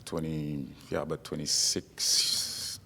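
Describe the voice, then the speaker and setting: A man from DR Congo who grew up in South Africa, chatting casually as a guest, halting and repeating his phrases. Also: rumbling low, flat delivery, pushed and bright, slight background noise. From born in DR Congo, raised in South Africa. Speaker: interview guest, MMA fighter. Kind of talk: casual chat. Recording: reverberant hall-like room.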